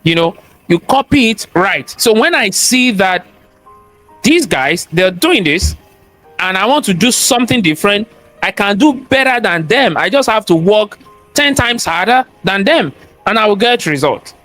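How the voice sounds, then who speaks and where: A man's voice: high voice, expressive, measured pace, bright, forceful tone, soft background music. A man with a thick Nigerian accent, a cybersecurity expert talking as a guest in an interview, emphatic and preachy, repeating his points and asking rhetorical questions. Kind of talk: interview conversation, motivational talk.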